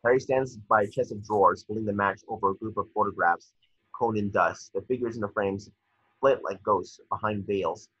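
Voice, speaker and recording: A man narrating scripted stage directions at a table read, measured with small stumbles, over a video call. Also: on the low side, a bit bright, slightly rough, no room sound. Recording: dull lo-fi video call audio.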